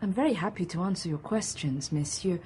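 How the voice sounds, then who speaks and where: An actress playing a reserved young married woman in a radio drama, speaking formally and evenly with a husky voice. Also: fairly low pitch, warm tone, slight background noise, close to an everyday voice. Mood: cool, composed. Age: young adult. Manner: formal, measured.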